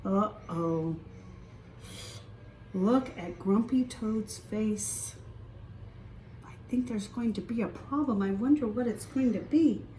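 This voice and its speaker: A woman storytime teacher reading aloud to preschoolers, expressive, with questions for the young listeners. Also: medium pitch, takes it slowly, long pauses, reverberant, far from the mic, slight background noise, low-quality recording.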